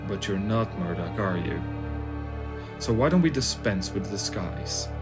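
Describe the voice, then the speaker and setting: A man playing a cautious, authoritative starship captain in a sci-fi audio drama, speaking in a measured, commanding way. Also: on the low side, animated. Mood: stern. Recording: music under the dialogue.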